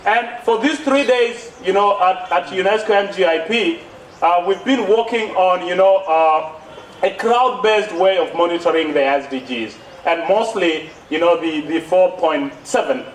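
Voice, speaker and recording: A man's voice: sits high, unhurried. A young man with an East African accent making an emphatic public speech, repeating phrases and posing rhetorical questions. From born in South Sudan, grew up in Kenya. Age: young adult. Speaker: youth speaker at a conference plenary. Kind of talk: public speech, impassioned advocacy. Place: outdoor stage under trees at a podium. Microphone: thin gooseneck podium microphone. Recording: echoey, distant podium mic with background noise.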